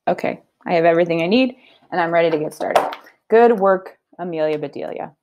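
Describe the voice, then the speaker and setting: A woman teacher giving a children's read-aloud lesson, explaining her thinking in an expressive, conversational way. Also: lowish voice, fairly quick, background noise. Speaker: elementary teacher.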